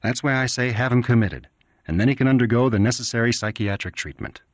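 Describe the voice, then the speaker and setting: A man's voice: mid-range voice, quick pace, no room sound, clean studio sound, voice pushed up, natural voice. A man voicing a blunt, cynical male doctor who talks in a flippant, matter-of-fact way.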